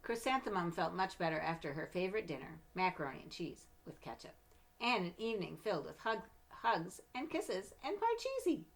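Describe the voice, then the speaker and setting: A woman with a slightly low voice reads a children's story aloud. She mixes narration with casual asides to her listeners. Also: sing-song, brisk, creaky. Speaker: storybook reader.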